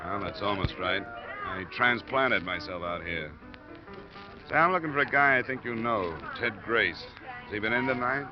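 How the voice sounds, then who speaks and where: An American actor playing a tough, shrewd insurance investigator in a 1950s radio drama, with clipped, hard-boiled delivery. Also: male voice, fairly low pitch, expressive, moderate pace, close to an everyday voice. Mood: casual probing. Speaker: lead actor and narrator. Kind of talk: 1950s radio detective acting. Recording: muffled old radio recording, music behind.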